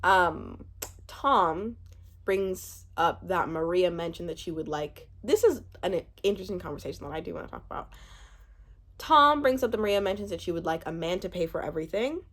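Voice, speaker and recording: A woman in her twenties giving a casual, chatty recap commentary, animated and rambling, often breaking off mid-thought. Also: on the low side, normal speed. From born in Uganda, spent part of childhood there. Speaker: YouTube host reviewing a reality show.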